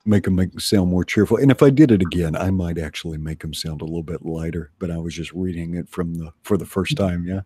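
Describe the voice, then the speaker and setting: A man chatting casually as a livestream guest, halting and rephrasing as he goes. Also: low-pitched, dark tone, breathy edge. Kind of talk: casual chat.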